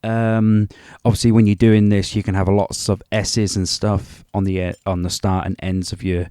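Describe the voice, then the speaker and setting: A man's voice: on the low side, little pitch movement, normal speed, warm tone, clean tone, no room sound. A man giving an informal music production tutorial in a rambling, chatty and self-deprecating way. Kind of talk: informal software tutorial narration.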